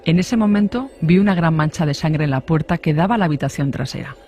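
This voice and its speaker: A man's voice: fairly high pitch, animated, fast, a little mellow. A man reading scripted narration of a true crime story.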